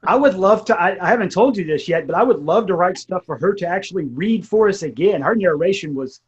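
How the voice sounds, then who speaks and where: A man's voice: high voice, animated, fairly quick, slightly rough, lo-fi sound. A man speaking bluntly and emphatically in a casual, informal interview chat. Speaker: writer being interviewed. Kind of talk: casual chat about craft.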